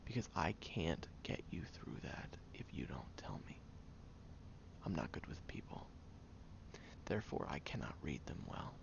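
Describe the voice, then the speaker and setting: A man playing a guarded, prickly young tsundere caring for a sick friend in an intimate audio roleplay, speaking haltingly, stern yet caring, often hushed. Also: extremely low pitch, big pitch swings, creaky, whispered, dull audio, heavily acted. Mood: hushed, concerned.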